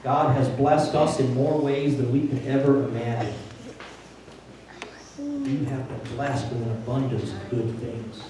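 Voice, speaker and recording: A man's voice: medium pitch, animated, a little slow, far from the mic, background noise. Older man preaching in an echoey church. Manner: plainspoken and conversational, building to urgent emphasis. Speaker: pastor. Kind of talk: sermon. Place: large church sanctuary. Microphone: handheld mic, filmed from a distant camera.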